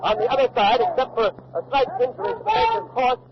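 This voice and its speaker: An actor playing an urgent, breathless eyewitness reporter in a 1940s radio drama, speaking in halting bursts of live commentary. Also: male voice, very high voice, big pitch swings, over a noisy crowd. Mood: tense, shaken. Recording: muffled old radio recording, loud battle noise.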